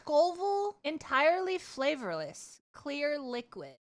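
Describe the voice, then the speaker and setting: A woman chatting casually on a livestream, telling stories in an animated, rambling, giggly way. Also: sits high, a little slow. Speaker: streamer. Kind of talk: casual livestream chat and storytelling.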